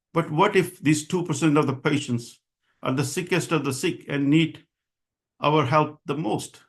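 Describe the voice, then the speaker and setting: A man speaking as a physician and association leader, delivering a formal advocacy speech in an earnest, insistent, emphatic way. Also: medium pitch. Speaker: physician and association president giving a public address.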